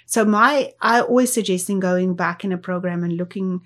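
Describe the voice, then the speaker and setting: A woman's voice: on the low side, moderate pace, a bit bright. Woman. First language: Afrikaans. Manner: explanatory, thinks aloud with restarts. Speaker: guest, associate professor. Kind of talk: interview.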